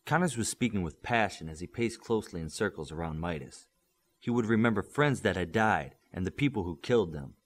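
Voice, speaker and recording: A man's voice: deep voice, expressive, brisk. A man giving a scripted narration of a novel as an audiobook reader.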